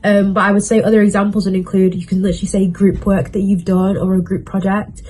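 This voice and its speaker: A woman's voice: mid-range voice, flat delivery, fast talker, darkish tone, husky. A young adult woman, a university student, giving casual career advice in a chatty, self-assured way with plenty of fillers.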